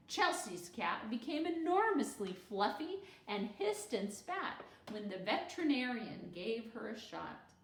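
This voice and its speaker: A woman storyteller with a fairly high voice reads a children's book aloud. Her delivery is very lively and a little unhurried, with animated expression. The room around her adds a clear echo. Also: far from the mic. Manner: animated, acts out character dialogue.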